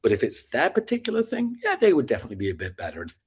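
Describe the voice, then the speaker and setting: A man in his fifties from Scotland with a neutral British accent, telling his career story as a podcast guest in lively, animated chat. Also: medium pitch, rapid-fire, husky. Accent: neutral British, not Scottish-sounding. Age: 50s. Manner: lively storyteller acting out his past self in quotes. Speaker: podcast guest, sales founder.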